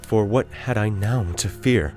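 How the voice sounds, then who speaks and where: A man voicing a guilt-crazed murderer in a theatrical, deliberate dramatic reading of a gothic horror story. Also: fairly low pitch, a little mellow, faint music behind, close to an everyday voice. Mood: smug, composed. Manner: theatrical, deliberate phrasing with drawn-out words. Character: guilt-crazed murderer, feverishly confident, unraveling into frantic confession.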